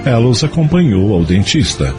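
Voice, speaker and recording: A man's voice: mid-range voice, sing-song, normal speed. A man with a Brazilian accent narrating a scripted story for an audio novela. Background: music.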